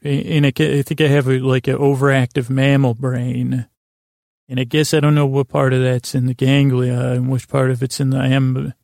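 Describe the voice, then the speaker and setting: A man with a creaky voice hosting a podcast, improvising a rambling bedtime monologue, halting and stammering as he repeats words. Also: mid-range voice, darkish tone, no room sound, studio quality. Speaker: podcast host.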